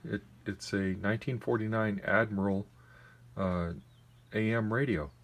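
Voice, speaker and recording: A man giving a casual, unscripted demonstration in a halting, tentative, plain-spoken way. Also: fairly low pitch, steady tone. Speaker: radio collector giving a demonstration. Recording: noisy amateur home video recording.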